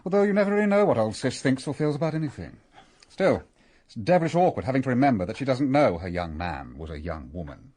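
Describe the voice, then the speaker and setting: A man's voice: medium pitch, very animated, fast, darkish tone. An actor playing a wry, offhand young British man, son of a country house, in a period radio drama.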